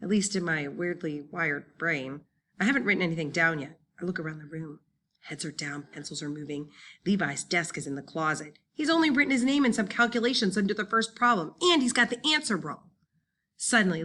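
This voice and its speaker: Casting: female audiobook reader, forward bright tone, slightly low register, dramatic first-person delivery for young readers' fiction. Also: fairly quick. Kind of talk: expressive first-person children's novel narration.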